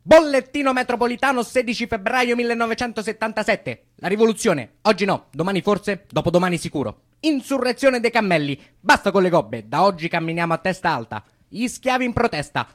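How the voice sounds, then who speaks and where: Theatrical counterculture poet, male actor: high-pitched, mock-solemn, proclaiming absurdities with a Roman accent for stage comedy. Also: animated, brisk, fairly bright tone. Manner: declamatory, pompous, rhyming. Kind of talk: mock news bulletin declamation.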